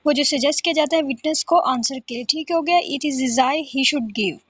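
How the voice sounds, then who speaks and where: A woman's voice: high voice, no room sound. A woman with a Pakistani accent lecturing as a law teacher, reading out notes and explaining them repetitively, often asking and answering her own questions. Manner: switches between Urdu explanation and slowly read English. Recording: dull, lo-fi close recording.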